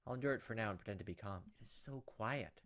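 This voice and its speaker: Fast-talking man reading a visual novel aloud. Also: fairly low pitch, fairly bright tone. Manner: dramatic storytelling narration broken by casual asides. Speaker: gaming video host. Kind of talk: let's play, reading a visual novel aloud with off-the-cuff reactions.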